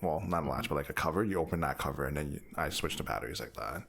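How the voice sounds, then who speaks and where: A man's voice: a bass voice, expressive, racing, creaky, fairly bright tone, husky. A man co-hosting a gaming podcast in casual chat among friends.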